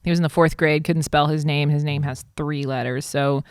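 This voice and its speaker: A woman raised in Israel who speaks with an American accent, a ministry leader telling her story as a podcast guest in a casual, animated way with many fillers. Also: deep voice, normal speed, smooth, dry recording, studio quality. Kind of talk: casual interview conversation.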